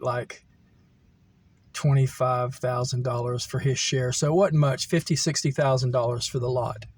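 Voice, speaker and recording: A middle-aged American man reminiscing casually, in a halting, meandering way as he thinks aloud. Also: mid-range voice, a little mellow, slight background noise. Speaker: vlogger telling personal stories. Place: camper van.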